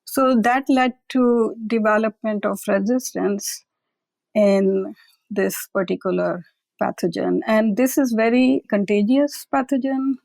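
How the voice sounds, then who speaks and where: A woman's voice: mid-range voice, fairly even intonation, unhurried, creaky, darkish tone, dry recording. A woman researcher being interviewed, explaining carefully and often adding "I will say". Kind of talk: conversational expert interview.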